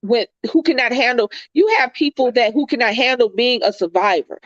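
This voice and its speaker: Woman, congested, earnest and reflective, high voice, dry dull lo-fi sound. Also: brisk, no echo. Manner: earnest, reflective, preachy, repeats phrases. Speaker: co-host. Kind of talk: casual heartfelt chat.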